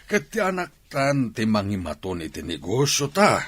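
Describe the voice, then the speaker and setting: Deep, animated scheming older patriarch played by a man. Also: moderate pace, heavily acted. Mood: demanding, displeased. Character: scheming older father-in-law, a businessman. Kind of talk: radio drama acting in Ilocano.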